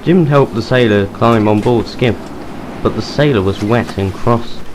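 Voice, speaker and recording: A man's voice: medium pitch, a little slow, background noise. A man delivering scripted storybook narration as the narrator of an audio drama.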